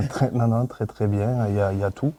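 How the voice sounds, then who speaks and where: A man's voice: fairly low pitch, fairly even intonation, normal speed, warm tone, no room sound. A man in his thirties, a mechanic, giving short, hesitant interview answers with many fillers. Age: 30s. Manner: plain, brief, hesitant with fillers.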